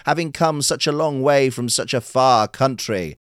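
A man voicing a crowd of courtly sea creatures in a storybook, calling out a loud, ceremonious welcome. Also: medium pitch, normal speed, clear, resonant tone, no room sound, clean studio sound, pitched above the performer's own voice, close to an everyday voice. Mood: jubilant.

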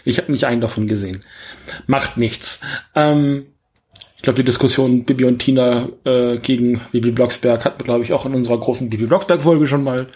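A man's voice: mid-range voice, steady tone, a little fast, a little mellow. A man hosting a podcast, chatting casually about films in a rambling, jokey, self-correcting way. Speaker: podcast host. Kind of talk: casual film review chat.

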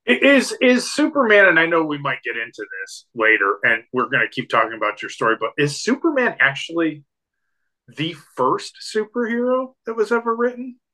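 A man's voice: fairly high pitch, sing-song, normal speed, bright, forceful tone, poor audio. A man hosting a podcast who asks easygoing questions and makes casual jokes. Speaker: podcast host.

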